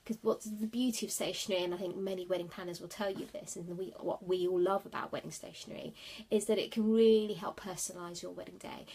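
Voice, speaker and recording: A woman's voice: fairly low pitch, creaky, slightly rough, rough recording. A woman with a sniffly cold, giving chatty, rambling wedding-planning tips on a livestream from her office at night. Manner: chatty, rambling, many fillers.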